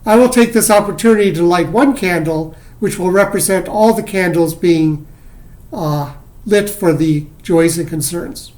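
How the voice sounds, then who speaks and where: A worship leader speaks in a gentle, welcoming way, guiding a congregation through a remote service. The pitch sits in a middle range, and the delivery is plain, with small restarts. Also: measured pace. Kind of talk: service announcements.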